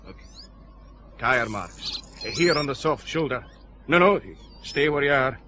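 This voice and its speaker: A man's voice: mid-range voice, lively intonation, unhurried, background noise, natural voice. An actor playing a cautious, practical Scottish countryman guiding police in a radio detective drama.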